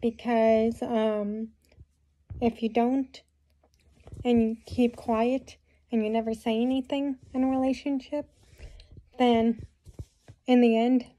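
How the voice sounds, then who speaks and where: A young woman talking to her phone camera in a small room, giving rambling advice with many fillers, a bit hoarse from a cold. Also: a little high, flat delivery, slow, long pauses, dark tone. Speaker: vlogger. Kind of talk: casual talk to camera. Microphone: phone camera at close range.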